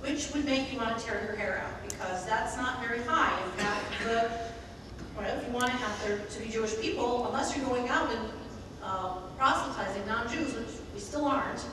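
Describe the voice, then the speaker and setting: Woman lecturer, loud voice, fairly high-pitched, booming echoey room, heard from a distance. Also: moderate pace. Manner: conversational, with frequent restarts and fillers. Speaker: guest lecturer, website editor. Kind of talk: informal public lecture. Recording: echoey hall recorded from far off, noisy.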